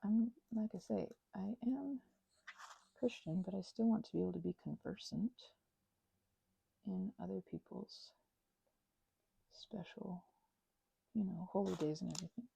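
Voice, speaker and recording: A woman rambling casually and unscripted while crafting, halting and wandering off on tangents, with excitable, gushing exclamations. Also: mid-range voice, gravelly, slightly rough, poor audio. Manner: halting, digressive, with gasps and exclamations. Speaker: craft and ASMR channel creator.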